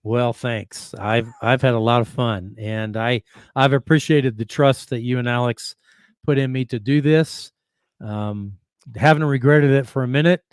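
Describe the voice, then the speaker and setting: A man hosting a talk show, speaking in a friendly, halting way with many restarts. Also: medium pitch, steady tone, clear, resonant tone, no room sound, clean studio sound. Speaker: podcast host. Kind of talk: casual interview chat.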